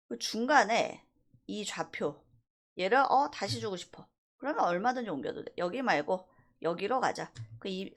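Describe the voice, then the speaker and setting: Woman doing a screen tutorial, chatty teacher, pitch moves around a lot, keeps tossing in little questions. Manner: conversational, informal mix of polite and casual speech, rhetorical check-in questions. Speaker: animation software instructor. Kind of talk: casual screen-recorded software tutorial, thinking aloud while demonstrating.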